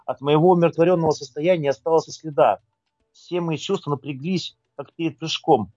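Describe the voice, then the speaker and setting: A man's voice: on the high side, fairly quick, mellow, no echo, lo-fi sound. A man presenting his own writing in an informal talk and reading aloud.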